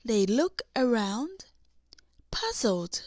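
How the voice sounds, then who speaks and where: A woman narrating a scripted children's storybook in deliberate, separate phrases. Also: fairly high pitch, very animated, darkish tone, dry recording, studio quality. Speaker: audiobook narrator.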